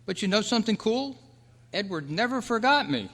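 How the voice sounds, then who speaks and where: A man's voice: high-pitched, sing-song, moderate pace, a bit bright, heavily acted. A man playing a candid, nostalgic young man with a casual, hesitant manner, in an old-time radio style performance. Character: candid, regretful young man, former boy grown up. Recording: echoey hall at a club meeting.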